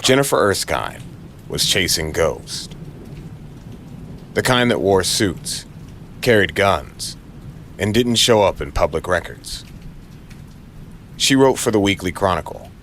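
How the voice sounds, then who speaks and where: A man's voice: sits low, very animated, slow pace, long pauses, a bit bright, slight background noise. A man narrating a noir crime audio drama in a brooding, dramatic way with short clipped phrases.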